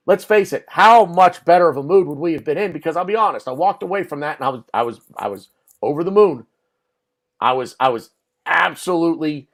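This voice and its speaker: A man hosting a casual sports podcast, talking animatedly and repeating words as he starts his sentences. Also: fairly high pitch. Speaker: podcast host.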